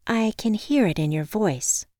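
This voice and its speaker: Woman doing a chirpy, patient dispatcher, pitched up. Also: unhurried, dry recording, clean studio sound, close to an everyday voice. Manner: polite, calm, reciting like a script. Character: pleasant, patient, chirpy female locksmith dispatcher. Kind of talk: acted audiobook dialogue.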